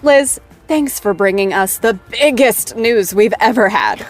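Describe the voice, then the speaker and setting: A bubbly, teasing talk show host. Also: female voice, on the high side, lively intonation, a little slow, faint music behind. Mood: upbeat teasing. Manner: playful, teasing, theatrical.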